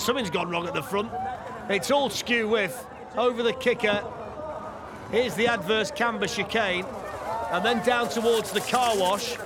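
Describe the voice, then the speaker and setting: A man giving lively, jokey live commentary on a downhill race at an outdoor track. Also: sits high, noisy background. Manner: excitable, quick reactions, jokey.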